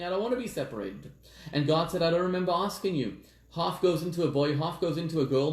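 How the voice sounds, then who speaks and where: A man from Zimbabwe raised in South Africa, a rabbi giving a sermon, telling stories with dramatic pauses and slowing down on key words. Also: a little high, darkish tone. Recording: echoey room.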